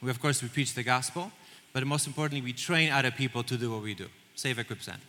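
Man, earnest storyteller, crisp and bright tone, church-hall reverb. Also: medium pitch, fast. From Poland. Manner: earnest, storytelling, with small restarts. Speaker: missions leader. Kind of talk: onstage interview in church. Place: large church auditorium.

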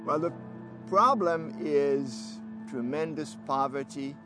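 A man from Haiti, recounting his ministry work in a measured documentary interview. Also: fairly high pitch. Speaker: ministry leader. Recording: loud music bed underneath. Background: loud music.

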